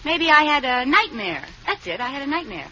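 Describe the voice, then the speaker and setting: A playful, scheming young wife with a high, bright, lively voice and a teasing delivery. The sound is a dull old radio broadcast. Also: female voice, brisk, a little hiss or hum, full character voice. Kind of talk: 1940s radio comedy acting.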